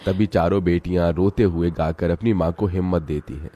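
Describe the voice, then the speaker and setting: A man's voice: low voice, fairly even intonation, normal speed, warm tone, dull audio. A man reading scripted storybook narration for a children's cartoon.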